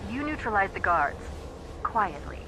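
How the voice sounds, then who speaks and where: A woman playing a clever, wry military AI companion in a video game, briefing briskly. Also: mid-range voice, big pitch swings, heavy background noise. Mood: businesslike, focused. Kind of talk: scripted video game acting. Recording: voice under loud music.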